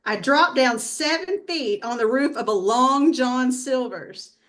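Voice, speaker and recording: A woman playing a brash, earthy abductee in a comedy sketch read, telling it in a blunt, folksy, deadpan way. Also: high-pitched, measured pace. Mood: annoyed.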